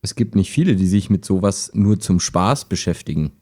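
A man playing a calm, helpful, lonely chat partner in an audio drama, with a measured, earnest delivery. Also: deep voice. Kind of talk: amateur audio drama dialogue, read chat.

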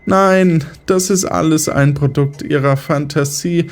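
A man's voice: on the high side, measured pace, lightly acted. An actor playing a smug, menacing male pursuer in a radio play, condescending and theatrical.

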